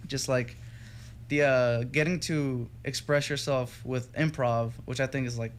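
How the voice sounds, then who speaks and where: A man's voice: mid-range voice, steady tone, measured pace, slight background noise. A young man from Texas, a music student guest, chatting casually in an interview with many fillers and restarts. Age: young adult. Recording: radio studio with faint music behind.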